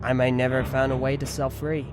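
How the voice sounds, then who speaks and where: A man voices a shaken fantasy hero with a medium-pitched voice and an earnest, halting manner. It is audio drama acting, with prominent music behind him. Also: flat delivery, close to an everyday voice. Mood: troubled, earnest. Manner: hesitant, earnest. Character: somber, shaken young-sounding heroic warrior of the air. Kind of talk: amateur full-cast audiobook drama acting. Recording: loud music bed under the voice.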